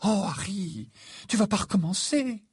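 A man voicing a bossy, exasperated, sharp-witted teenage schoolgirl in a storybook, with a cool lecturing manner. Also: mid-range voice, lively intonation, moderate pace, breathy edge, no room sound, clean studio sound.

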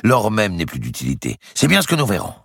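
A man's voice: fairly low pitch, huge pitch swings, quick pace, gravelly, a bit bright, breathy edge, no echo, studio quality, voice pushed up, heavily acted. A man voicing an eager, impetuous young Italian banker in a storybook reading. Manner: impetuous, exclaiming.

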